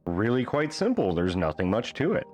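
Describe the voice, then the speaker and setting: Male storyteller, low pitch, animated melody, rhyming bedtime-book narration, faint music behind. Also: normal speed. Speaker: storyteller reading a children's book.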